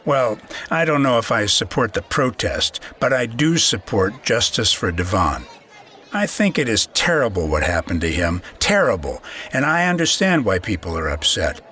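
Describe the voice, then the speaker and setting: A man playing an earnest, shaken townsman who answers an interview in a plainspoken, halting way. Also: fairly low pitch, big pitch swings, sharp tone. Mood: troubled, sincere. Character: earnest male townsman, shaken store owner, being interviewed. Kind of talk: naturalistic acted interview.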